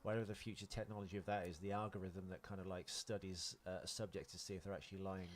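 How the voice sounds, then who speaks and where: A man with a slightly low voice co-hosts a casual film podcast. He talks quickly and chattily, explaining his points as he goes. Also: little pitch movement, a little hiss or hum. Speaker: podcast co-host.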